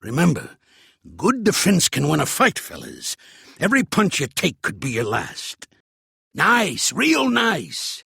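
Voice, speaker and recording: An actor voicing a shrewd, gruff old American mobster for a video game, streetwise and blunt, with a gravelly, husky voice. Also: male voice, a little high, huge pitch swings, measured pace, bright, forceful tone, no room sound, clean studio sound, full character voice. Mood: barking, coaching. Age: older. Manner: streetwise, commanding, blunt, slangy. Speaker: mobster mentor and boxing coach. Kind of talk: video game character acting.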